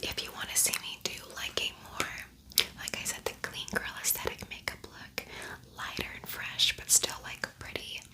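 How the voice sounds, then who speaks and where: A woman whispering in a breathy, unvoiced voice, chatting casually and a little ramblingly through an ASMR makeup tutorial. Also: moderate pace. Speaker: ASMR beauty vlogger.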